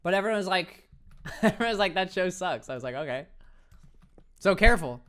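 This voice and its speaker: A young man whose voice sits light and high, talking casually to his viewers over a desk mic. He jokes at his own expense and laughs easily between comments. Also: lively intonation, a little fast, a bit bright. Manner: playful, self-mocking, laughs often. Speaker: streamer. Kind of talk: casual chat reading viewer messages while gaming.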